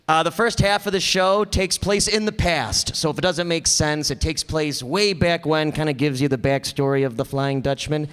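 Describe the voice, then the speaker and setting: A man's voice: a little high, steady tone, normal speed, hardly any pauses, a bit bright, clean tone. A man hosting a live stage show with an informal, rambling and joking introduction. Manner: hesitant, rambling, joking with the audience. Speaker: host. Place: stage before a small audience. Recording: live hall with long reverb.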